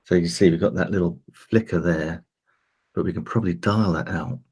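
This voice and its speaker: A man with a very low, gravelly voice walks viewers through a software demo in a loose, chatty way, full of fillers and restarts. His tone is a bit husky and a little croaky, and he talks into a desk microphone. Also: fairly even intonation, a little fast. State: slightly croaky voice. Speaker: host demonstrating streaming gear. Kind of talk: casual tech demo talk.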